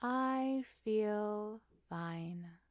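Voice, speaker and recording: A woman voicing a dazed, wistful young lady in an audiobook-style story, mumbling sleepily in a hushed way. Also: mid-range voice, very slow, no room sound, clean studio sound. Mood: drowsy, fading.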